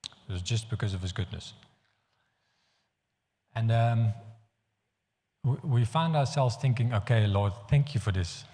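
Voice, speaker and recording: A man from the Netherlands with a Dutch accent, preaching a sermon in an earnest, halting way with frequent restarts. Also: fairly low pitch, dark tone. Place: church hall. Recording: long hall reverb.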